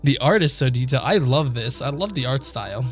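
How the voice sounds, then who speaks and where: Male game streamer, medium pitch, reads visual novel text aloud, trips over words and chuckles, faint music behind. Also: lively intonation, fairly quick. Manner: chuckles, stumbles over words and corrects himself. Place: streaming setup indoors.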